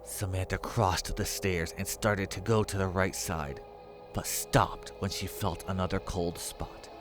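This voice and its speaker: A man's voice: deep voice, steady tone, unhurried. A man reading scripted horror story narration, with music behind his voice.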